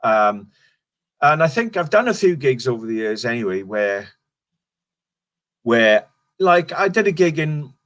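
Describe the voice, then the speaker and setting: A man's voice: mid-range voice, big pitch swings, unhurried, long pauses, fairly bright tone. A middle-aged Welsh comedian chatting casually in an interview, rambling and restarting his sentences. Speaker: stand-up comedian guest.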